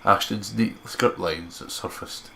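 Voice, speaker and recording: A man's voice: on the low side, takes it slowly, creaky, heavy background noise. A man with a congested nose narrates a visual novel and adds casual commentary as a co-host. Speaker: let's play co-host reading visual novel aloud.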